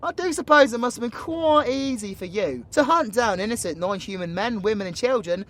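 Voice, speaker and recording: A man's voice: very high-pitched, brisk, a bit bright. A British man playing a cocky, foul-mouthed supervillain in an audio roleplay, mocking and slangy. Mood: mocking taunts.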